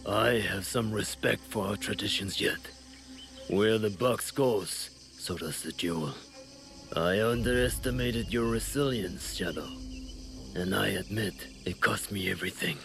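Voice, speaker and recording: An actor playing a proud, calculating deposed shogun in a game cutscene, speaking formally and with condescension in a gravelly voice. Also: male voice, on the low side, unhurried, a bit bright, faint music behind. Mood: resigned, proud. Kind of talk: dramatic video game cutscene acting.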